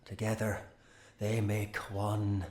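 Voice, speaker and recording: An eccentric middle-aged wizard in a fantasy game. He speaks very slowly and portentously in a fairly low voice, with a clear echo around it. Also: completely flat delivery, dark tone. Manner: slow, portentous. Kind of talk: video game voice acting.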